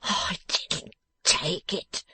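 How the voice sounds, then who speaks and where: A woman voicing a cowardly, self-pitying young man in pain, with wailing, groaning, drawn-out complaints for an audiobook of a Victorian novel. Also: a little high, very animated, breathy edge, dry recording, voice pushed up, full character voice. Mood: aggrieved, miserable.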